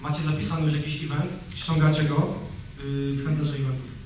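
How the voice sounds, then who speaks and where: A man in his thirties gives a conference talk from a stage, his voice sitting slightly high but staying flat in pitch. He speaks loosely, restarting phrases and filling pauses as he goes. The sound is distant and very echoey, with a faint hum of the hall behind him. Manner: conversational, with frequent fillers and restarts. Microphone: handheld microphone.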